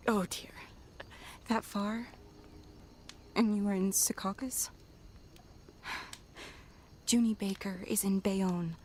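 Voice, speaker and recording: A middle-aged actress playing a caring, steady aviation wife in a period radio drama, gentle and reassuring. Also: female voice, mid-range voice, slow pace, pauses often, slight background noise, lightly acted. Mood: gentle concern.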